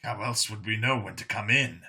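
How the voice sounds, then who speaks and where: Man voicing a calm, bright-toned alien commando. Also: fairly low pitch, moderate pace, vocal fry, husky, heavily acted. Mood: calm, matter-of-fact. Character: polite, matter-of-fact alien satyr commando.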